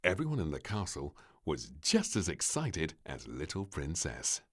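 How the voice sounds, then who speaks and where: A man reading a children's storybook aloud, with warm, expressive storytelling. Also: a bass voice, unhurried, slightly rough. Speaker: storybook narrator. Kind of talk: children's read-along narration.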